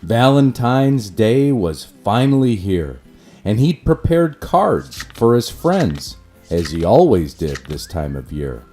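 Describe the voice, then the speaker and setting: Slow, lively, mellow male storybook narrator. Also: lowish voice, soft background music. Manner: expressive, measured storytelling. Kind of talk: scripted rhyming children's storybook narration.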